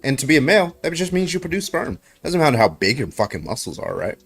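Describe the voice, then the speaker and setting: A man's voice: fairly high pitch, big pitch swings, quick pace, vocal fry, a bit bright, slightly rough. A man in a heated online debate, pressing his opponent with repeated questions and rhetorical tags.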